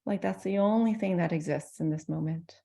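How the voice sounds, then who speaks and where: Gentle, mellow woman guiding meditation. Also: fairly low pitch, gravelly. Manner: gentle, soothing, with unhurried pauses between phrases. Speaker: meditation teacher. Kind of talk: guided meditation.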